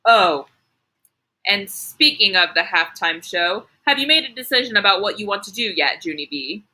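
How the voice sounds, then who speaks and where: Lady as mr teacher guy in a kids book, encouraging, measured, bright crisp voice. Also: mid-range voice, animated. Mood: pleased. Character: calm, encouraging male first-grade teacher. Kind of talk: children's storybook read-aloud.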